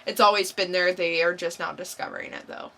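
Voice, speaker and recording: A woman's voice: fairly low pitch, steady tone, a little fast, bright, forceful tone. A woman co-hosting a podcast, chatting casually and informally in a blunt way. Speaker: podcast co-host. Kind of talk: casual quiz banter.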